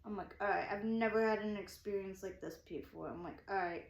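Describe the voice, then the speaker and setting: A woman's voice: mid-range voice, brisk. Rambling young woman in echoey room. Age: 20s. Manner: rambling, repeats herself, keeps quoting her own thoughts. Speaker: vlogger. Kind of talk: casual storytelling.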